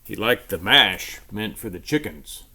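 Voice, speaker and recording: A man with a fairly low voice reads a storybook aloud to children. His delivery is expressive and emphatic, in the manner of a storyteller. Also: a little slow, creaky.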